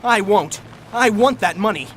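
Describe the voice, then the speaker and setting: Actor as a high-voiced, desperate wronged executive. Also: male voice, lively intonation, moderate pace, fairly bright tone. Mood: desperate, demanding. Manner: pleading, insistent. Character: desperate, indignant male company president, betrayed. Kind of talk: 1940s radio melodrama acting. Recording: faint vehicle rumble behind the voice.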